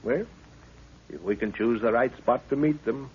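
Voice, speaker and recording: A man's voice: medium pitch, normal speed, darkish tone, slightly rough, highs cut off. A man acting a commanding, fatherly middle-aged professor and expedition leader in a 1930s radio adventure, formal and authoritative. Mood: commanding, deliberate.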